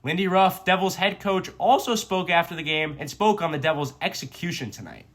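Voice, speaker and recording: A man's voice: high voice, lively intonation, brisk, fairly bright tone, a little hiss or hum. A man working as a sports reporter, narrating a scripted hockey game recap.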